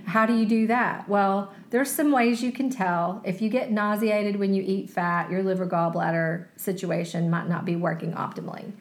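Woman, 40s, chatty podcast co-host, medium pitch, roomy echo. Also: fairly even intonation, moderate pace, hardly any pauses. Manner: chatty, laughs easily, talks through advice. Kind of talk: casual health podcast chat. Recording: echoey room.

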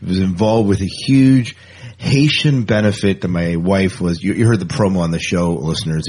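A man with a lowish, rough-edged voice hosts a casual fan podcast. He rambles along in a jokey way, repeating words for emphasis and chatting like a friend. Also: normal speed, darkish tone. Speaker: podcast co-host.

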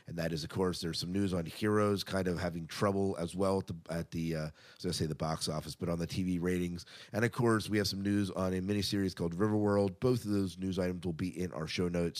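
Low-pitched man co-hosting a podcast. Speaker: podcast co-host. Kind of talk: casual entertainment news commentary.

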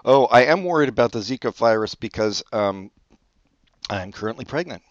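A man's voice: medium pitch, animated, normal speed, dry recording. A man co-hosting a podcast, chatting casually in a dry, rambling way and swearing offhand. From born in Brazil, raised in California. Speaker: podcast co-host. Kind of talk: casual comedic chat. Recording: dull dry lo-fi audio.

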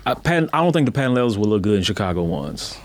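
A man's voice: fairly low pitch, big pitch swings, very fast. A man from Louisiana talking casually and informally in slangy podcast conversation. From Baton Rouge, Louisiana, United States. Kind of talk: casual chat.

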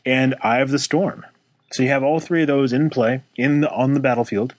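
A man's voice: mid-range voice, fairly even intonation, moderate pace, dry recording. A man co-hosting a casual chat, telling stories and laughing often. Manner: chatty storyteller, laughs often. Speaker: podcast co-host.

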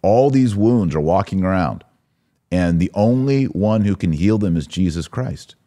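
A man's voice: low voice, lively intonation, unhurried, mellow. A man hosting a religious podcast, speaking in an emphatic, exhorting way with rhetorical questions. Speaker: podcast host. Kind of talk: religious discussion, preaching commentary.

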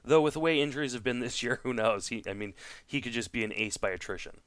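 A man with a clean, smooth voice hosts a casual sports talk podcast, a native French speaker. His sound is dry and close, and he talks conversationally, working through his opinions as he goes. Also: mid-range voice, quick pace, a bit bright, no echo. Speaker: podcast host. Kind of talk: casual sports talk, fantasy baseball discussion.

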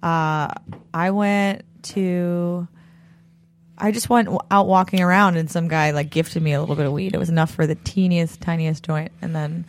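A woman co-hosting a podcast, telling stories in a laid-back way with a slightly raspy voice and frequent laughter. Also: on the low side, fairly even intonation, normal speed. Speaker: podcast co-host. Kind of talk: casual chat.

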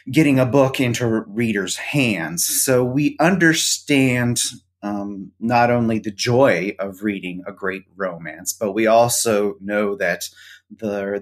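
A man who is a guest on a podcast, talking about books in a thoughtful, hesitant way with many pauses. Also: on the low side. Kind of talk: casual interview chat.

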